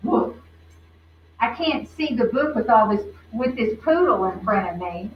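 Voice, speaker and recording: A woman's voice: a little high, lively intonation, unhurried. A woman voicing a mean, mocking schoolboy bully in a children's storybook, sneering and disgusted. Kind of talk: children's storybook read-aloud. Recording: roomy, distant, muffled, faint noise.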